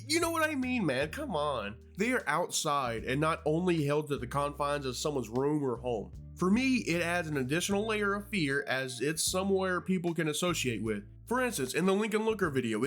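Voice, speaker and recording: A man's voice: on the high side, fairly bright tone. A man narrating a video essay as a YouTube host, in a playful, conversational way. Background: music.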